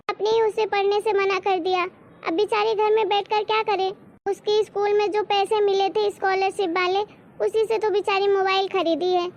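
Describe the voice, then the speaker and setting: A woman voicing an emphatic, devoted female character in a Hindi cartoon family story. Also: extremely high pitch. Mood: worried, defensive. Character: excited, devoted young daughter and her protective mother.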